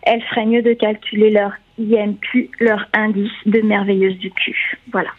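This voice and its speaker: A woman's voice: medium pitch, flat delivery, moderate pace. Chatty woman on a tinny phone line. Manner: chatty, laughs often, frequent "euh" and "voilà". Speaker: sex columnist. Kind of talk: radio talk show segment. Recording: narrow phone-line sound.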